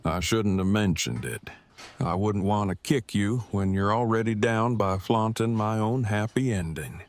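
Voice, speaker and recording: An actor playing a wordy, earnest survivor in an audio drama, with stilted, formal and deliberate diction. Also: male voice, lowish voice, animated. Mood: sympathetic. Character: verbose, formal, earnest man in love, post-apocalyptic survivor.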